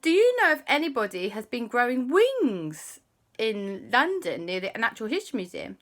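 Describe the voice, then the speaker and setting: A woman voicing two boys who speak as one, brisk, emphatic and sensible. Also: a little high, very animated, fairly bright tone, pitched above the performer's own voice.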